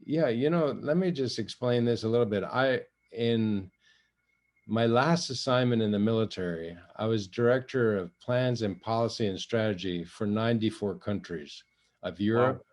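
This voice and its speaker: Older African-born man, Swahili first language, lowish voice, measured interview guest with many restarts. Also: a little mellow. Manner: measured storytelling with frequent restarts and light laughs. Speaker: guest, retired general and envoy. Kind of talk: interview conversation.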